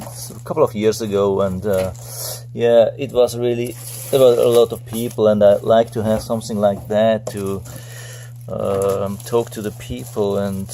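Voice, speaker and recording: Low creaky-voiced man, hesitant and unhurried. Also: warm tone, a little hiss or hum. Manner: hesitant, rambling, thinking aloud. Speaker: product reviewer. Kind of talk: casual unboxing commentary, unscripted.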